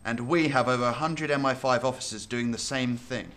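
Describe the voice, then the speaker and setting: A man acting a brisk, clipped senior intelligence chief under pressure in a radio drama. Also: mid-range voice, a bit bright, natural voice. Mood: tense, defensive.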